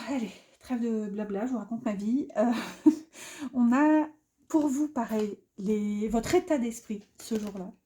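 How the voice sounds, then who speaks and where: A woman's voice: fairly high pitch, warm tone. A middle-aged woman giving a casual tarot reading, chatty and rambling, with many fillers and restarts. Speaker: tarot reader.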